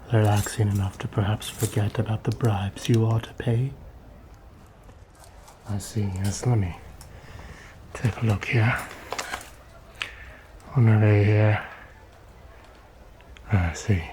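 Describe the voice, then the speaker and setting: A man playing a corrupt, wheedling town guard in a fantasy ASMR roleplay, speaking softly and often dropping to a whisper, insinuating and mock-polite. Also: on the low side, noisy background. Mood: soothing, insinuating, then sly, wheedling.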